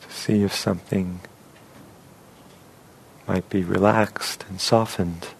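A man leading a guided meditation as a teacher, speaking softly and unhurriedly with long pauses. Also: low-pitched, steady tone, gravelly, slight background noise.

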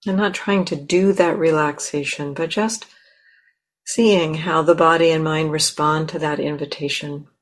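A woman teacher leading a guided meditation in a gentle, unhurried way with long pauses between phrases. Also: low voice, fairly even intonation.

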